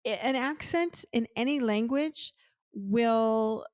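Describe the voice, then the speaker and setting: A woman's voice: fairly high pitch, takes it slowly, darkish tone, dry recording. American woman podcaster, dry close sound. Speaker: podcast co-host. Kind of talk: casual podcast conversation.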